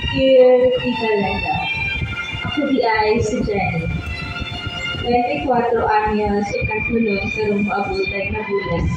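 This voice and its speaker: A woman playing an excitable, proud young sweetheart in a radio drama, expressive and gushing, with a Filipino accent. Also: a little high. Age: young adult. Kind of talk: radio drama acting and letter narration. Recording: very echoey, distant, dull, with loud music.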